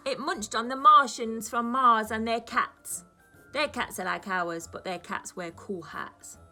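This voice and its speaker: A woman with a fairly high, very bright voice reads aloud like a primary teacher with young children. Her delivery is expressive and dramatic, and faint music sits behind her. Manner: animated, dramatic emphasis for young listeners. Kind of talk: expressive children's storybook reading.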